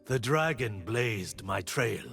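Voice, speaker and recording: A man playing a disciplined, resolute blind warrior monk for a video game, speaking in solemn, measured aphorisms. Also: lowish voice, expressive, creaky, a bit bright, soft background music, full character voice. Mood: disciplined, determined.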